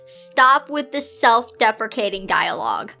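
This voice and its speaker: A woman reading a story aloud informally, breaking off for animated, exasperated side comments. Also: on the high side, unhurried, gravelly, faint music behind. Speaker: video host reading fan fiction aloud.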